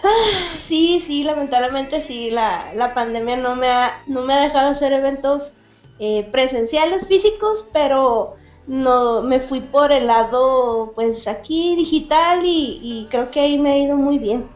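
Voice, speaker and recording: A woman's voice: sits high, expressive, a little slow, reverberant. A woman from Mexicali, a cartoonist chatting as an interview guest, full of fillers and restarts. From Mexicali, Baja California, Mexico. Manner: chatty, many fillers and restarts, laughs often. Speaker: guest, cartoonist and event organizer. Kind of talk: casual interview chat.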